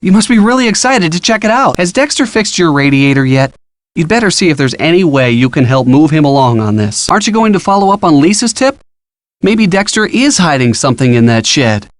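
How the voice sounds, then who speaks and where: A man's voice: a little high, big pitch swings, fairly quick, no echo, studio quality. An actor playing a helpful young male friend who coaches a detective in a video game, with an earnest, encouraging delivery. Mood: helpful, coaching. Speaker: hint-giving game character. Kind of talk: scripted video game voice acting.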